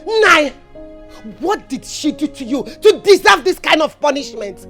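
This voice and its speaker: A woman's voice: sits high, big pitch swings, measured pace, a bit bright, soft background music, exaggerated voice. A protective Nigerian mother and wife in an emotional film drama, exclaiming and repeating herself insistently. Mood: confronting, pleading. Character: protective, indignant mother and wife standing up for her daughter.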